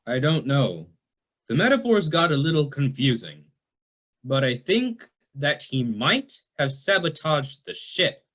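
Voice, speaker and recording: A man's voice: fairly high pitch, very animated, a little slow, a bit bright, exaggerated voice. A man playing a cocky, dim heroic spaceship captain with self-important bravado in a campy sci-fi radio play. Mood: puzzled.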